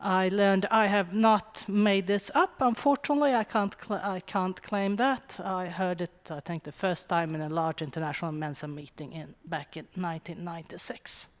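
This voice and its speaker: A middle-aged woman from Sweden with a Swedish accent, giving a wry, conversational conference talk with quick asides. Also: lowish voice. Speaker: conference speaker. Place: conference hall. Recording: long hall reverb.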